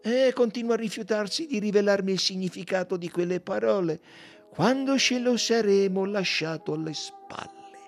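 A man voicing a shrewd, courteous detective from a novel, self-assured and theatrical, with emphatic, deliberate delivery. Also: high voice, soft background music, pitched above the performer's own voice. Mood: insistent, calm.

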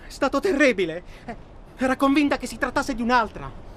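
A man's voice: very high-pitched, animated, brisk, fairly bright tone. A man acting in a radio drama as a well-spoken, self-absorbed hunting traveller who talks insistently and at length. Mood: agitated, confessing. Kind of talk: naturalistic radio drama dialogue. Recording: clear ambient noise behind.

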